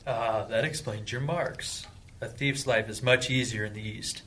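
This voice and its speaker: A man's voice: fairly low pitch, steady tone, measured pace, fairly bright tone, slight background noise. A man playing a wry young thief. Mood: teasing. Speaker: actor.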